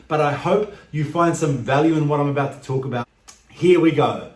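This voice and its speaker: Higher-voiced New Zealand expat man, echoey room. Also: fairly quick. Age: 40s. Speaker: YouTube channel host. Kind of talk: direct-to-camera vlog talk. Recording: echoey, roomy sound.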